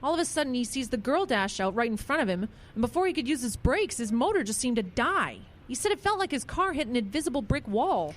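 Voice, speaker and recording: A woman's voice: fairly high pitch, big pitch swings, quick pace, hardly any pauses. A woman playing an earnest, concerned small-town woman in a radio drama.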